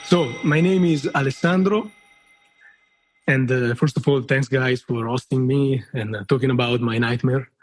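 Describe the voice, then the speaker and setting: A man's voice: medium pitch, low-quality recording. Hesitant man in his thirties. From Italy. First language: Italian. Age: 30s. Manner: halting, many fillers, swears and laughs freely. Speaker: listener guest. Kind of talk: casual podcast storytelling.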